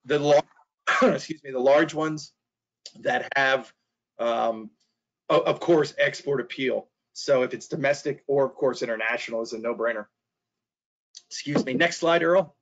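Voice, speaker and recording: A middle-aged man giving a webinar presentation, hoarse and losing his voice, halting with fillers and restarts and clearing his throat. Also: medium pitch. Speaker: trade specialist presenter. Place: small office. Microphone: video call. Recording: dull lo-fi video call audio.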